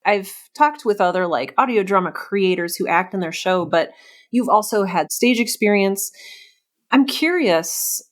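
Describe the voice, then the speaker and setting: A woman's voice: mid-range voice, expressive, a little slow. A woman hosting a casual podcast interview, effusive and reflective, often thinking aloud as she talks. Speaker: podcast host interviewing a writer.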